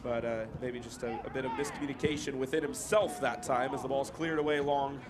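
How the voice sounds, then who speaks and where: A young American man doing brisk, chatty play-by-play commentary on a student football match. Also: mid-range voice, fairly even intonation. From United States. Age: young adult. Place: outdoor football ground. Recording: live outdoor broadcast with loud crowd. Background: loud crowd noise.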